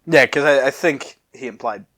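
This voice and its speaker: A young man with a medium-pitched voice reads fan fiction in a casual style. He breaks from narration into sweary, animated asides. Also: moderate pace. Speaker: host and narrator.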